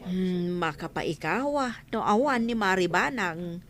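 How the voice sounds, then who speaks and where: A woman's voice: lowish voice, expressive, measured pace, fairly bright tone. A woman acting a teasing, gossipy village friend in a comedic audio drama.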